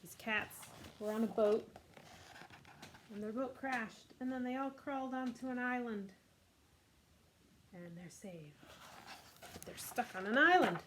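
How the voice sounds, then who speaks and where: A woman's voice: on the high side, measured pace, pauses often, slight background noise, poor audio. A woman hosting a children's storytime, reading a picture book expressively with casual asides. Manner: expressive, voices the characters' lines.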